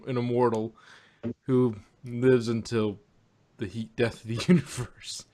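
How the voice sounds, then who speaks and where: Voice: medium pitch, little pitch movement, unhurried, warm tone. A podcast co-host chatting casually and jokingly as a fan.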